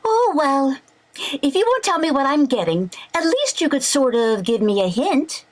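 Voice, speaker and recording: A woman's voice: high-pitched, very animated, normal speed. A woman playing a playful, scheming, wheedling housewife in a 1940s-style radio comedy, coaxing and theatrical.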